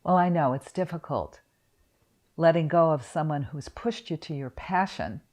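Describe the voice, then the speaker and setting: Woman playing a low-voiced encouraging therapist. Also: animated, normal speed. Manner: soft, encouraging. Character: gentle, encouraging female therapist. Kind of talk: acted therapy dialogue.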